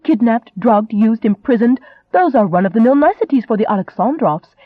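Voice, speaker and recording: A man voicing a proud, sharp-tongued young Englishwoman in an audiobook, indignant and biting. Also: high-pitched, moderate pace, no echo, phone-quality sound. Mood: bitter sarcasm.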